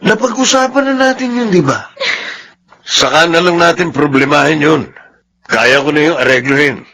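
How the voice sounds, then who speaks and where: A man's voice: fairly high pitch, expressive, moderate pace, vocal fry, sharp tone, exaggerated voice. A Filipino man acting a pushy, scheming office employee in a radio drama. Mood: scheming, cocky. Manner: pushy, threatening, dismissive. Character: scheming, bullying male office employee angling for promotion.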